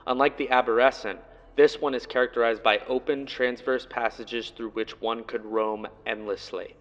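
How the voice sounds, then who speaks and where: Man, medium pitch, video essay narration, analytical, earnest, deliberate emphasis. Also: steady tone, a bit bright, lo-fi sound.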